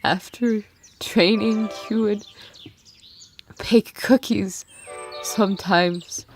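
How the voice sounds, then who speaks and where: A dying monster warrior, slow and halting. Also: female voice, mid-range voice, steady tone. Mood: wistful, fading. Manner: halting, weak, broken phrases. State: struggling to breathe, tearful. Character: dying female monster warrior, frightened, grieving, loyal. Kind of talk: amateur dramatic reading of fan fiction. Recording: music bed with birdsong behind.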